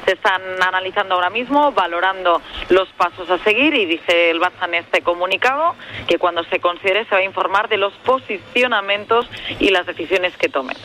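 A man's voice: high-pitched, brisk, pushed and bright, slight background noise, poor audio. A man with a Spanish accent from Spain giving a factual live news update as a radio reporter.

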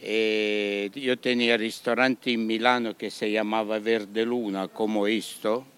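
Slow Italian man with a smooth voice. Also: lowish voice, level tone, fairly bright tone. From Italy. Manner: halting, mixes Italian words into his Spanish. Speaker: restaurant owner. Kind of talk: radio interview.

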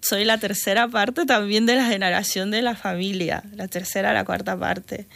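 A middle-aged woman from Peru with a Peruvian Spanish accent, a radio guest talking casually, hesitant and often restarting, quick to laugh. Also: mid-range voice, steady tone, gravelly, a bit bright. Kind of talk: casual interview talk.

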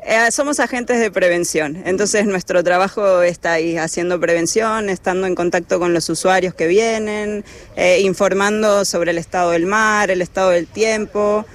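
A woman's voice: mid-range voice, moderate pace. A woman from Argentina with an Argentine Spanish accent, a lifeguard coordinator answering interview questions hesitantly, with many fillers and restarts.

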